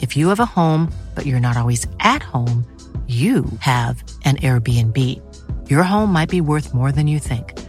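Very low-voiced woman, ad read. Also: animated, a little slow, darkish tone, faint music behind. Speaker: podcast host reading an ad. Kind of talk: scripted personal testimonial ad.

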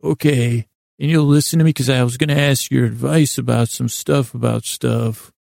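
A man's voice: mid-range voice, steady tone, moderate pace, vocal fry, darkish tone, no echo, clean studio sound. A man voicing a laid-back, forgetful beach-bum neighbour who talks in a vague, meandering way in a podcast bedtime story. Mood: easygoing, eager.

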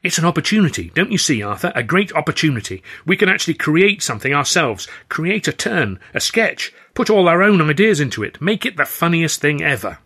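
Audiobook role: eager, guileless young funnyman, voiced by a man, raised pitch, earnest exclamations. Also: big pitch swings, fairly quick, few pauses, sharp tone, husky, exaggerated voice. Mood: excited. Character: young male comedian, sunny, trusting, eager.

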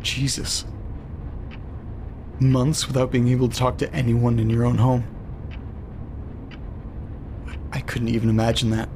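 Male actor as lonely man, uneasy, audio drama narrator, eerie letter reading, mid-range pitch, light background noise. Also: little pitch movement, normal speed, close to an everyday voice. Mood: uneasy, startled.

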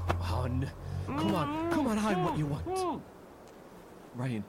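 A man's voice: sits high, wildly swooping pitch, takes it slowly, exaggerated voice. A man acting a gritty, protective, sweary apocalypse survivor in a naturalistic horror audio drama, with terse, wry delivery. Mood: panicked, defiant. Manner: naturalistic, terse, sweary, wryly self-deprecating. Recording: noisy in-world field recording.

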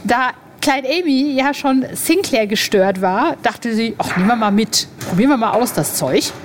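A woman's voice: fairly high pitch, lively intonation, normal speed, slight background noise. A woman in her forties hosting a podcast in a casual, chatty way, with colloquial, exclamatory phrasing. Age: 40s. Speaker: podcast host.

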